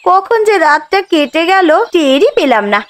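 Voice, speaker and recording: A woman's voice: very high voice, animated, moderate pace. A woman voicing a busy, fretful young village wife and mother in a cartoon, chatty in manner. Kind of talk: cartoon dialogue.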